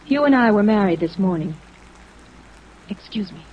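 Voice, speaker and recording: Actress as a cool, guarded radio wife, muffled. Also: female voice, mid-range voice, lively intonation, normal speed, natural voice. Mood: cool, resigned. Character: cool, guarded newly remarried society wife. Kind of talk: 1940s-50s radio drama acting. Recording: muffled, noisy vintage radio recording.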